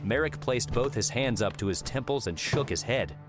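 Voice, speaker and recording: A man's voice: medium pitch, expressive, moderate pace, music in the background. A man reading scripted story narration for an audiobook.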